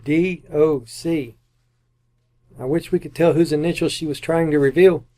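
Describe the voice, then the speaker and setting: A man's voice: on the high side, steady tone, normal speed, warm tone, close to an everyday voice. A man playing an earnest, anxious husband under suspicion in an old-time radio mystery, speaking in a stiffly theatrical way. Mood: subdued, cooperative. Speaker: amateur radio actor.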